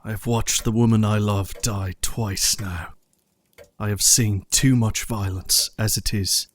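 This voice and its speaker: A man playing a grieving young gentleman in an audio drama, subdued, formal and drained of fight. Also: fairly low pitch, steady tone, measured pace, close to an everyday voice. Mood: weary grief.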